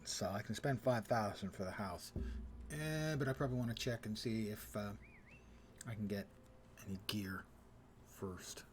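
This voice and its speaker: A man's voice: fairly low pitch, darkish tone, slight background noise. A middle-aged man giving casual live commentary on his game, thinking aloud as he plays. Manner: thinks aloud while playing.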